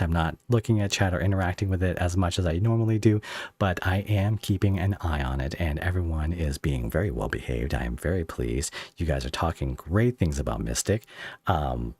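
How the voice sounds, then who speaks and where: A man hosting a casual live interview, speaking in a halting, rambling way as he searches for phrasing. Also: low voice, darkish tone, husky, no echo. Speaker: podcast host interviewing a guest.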